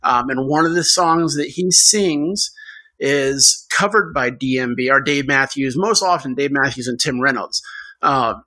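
A man's voice: medium pitch, unhurried, sharp tone, poor audio. A man hosting a podcast, talking in an enthusiastic, conversational way. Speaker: podcast host. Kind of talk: casual chat.

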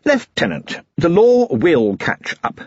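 A man voicing a gruff, weary middle-aged police commander who speaks bluntly and doggedly. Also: on the high side, very animated, slow, a little mellow, no room sound, highs cut off, voice pushed up, full character voice. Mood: determined.

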